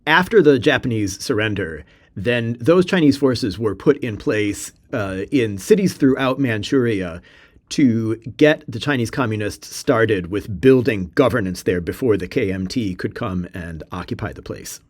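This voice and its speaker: A man's voice: on the low side, lively intonation, unhurried. A man co-hosting a history podcast in English, which is not his first language, adding wry asides. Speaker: podcast co-host. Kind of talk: casual history podcast narration.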